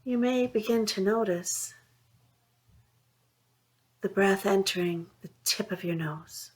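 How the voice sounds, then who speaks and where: A woman leading a guided mindfulness meditation, speaking in a soothing, unhurried way with long pauses between phrases. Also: medium pitch. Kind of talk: guided meditation, gentle instruction.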